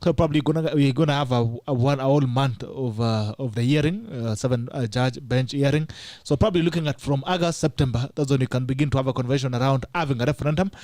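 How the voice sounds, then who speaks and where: A man's voice: a little high, darkish tone, dry recording. A Kenyan man hosting a studio talk show, mixing English and Swahili, laughing often and reading out the call-in number.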